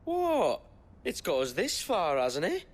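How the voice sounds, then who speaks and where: An actor playing a cheeky, shifty young village rogue in a video game, with a glib, colloquial manner. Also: male voice, sits high, wildly swooping pitch, slow pace, exaggerated voice. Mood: breezy, defensive. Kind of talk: video game cutscene acting.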